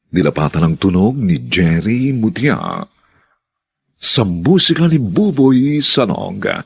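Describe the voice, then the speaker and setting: A man hosting a radio drama, reading scripted announcements in a formal, theatrical manner with drawn-out phrases. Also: lowish voice, vocal fry, slightly rough, dry recording.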